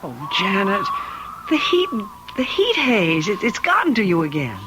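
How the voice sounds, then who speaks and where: A woman's voice: medium pitch, huge pitch swings, measured pace, noisy background. An actress playing an anxious but practical woman traveler, earnest and imploring, in an old-time radio drama. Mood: worried, earnest.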